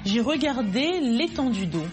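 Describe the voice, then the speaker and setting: A woman translating English lesson phrases, with clear, measured delivery. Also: fairly high pitch, big pitch swings, over music. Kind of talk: scripted language lesson.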